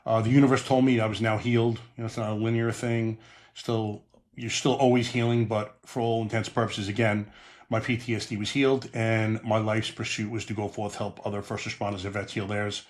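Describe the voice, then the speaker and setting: A middle-aged man with a Long Island New York accent talks as a podcast host, plain-spoken and earnest, with many small "uh" fillers. His voice sits low and stays fairly flat. The delivery is steady rather than lively. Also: brisk. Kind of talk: podcast monologue and interview.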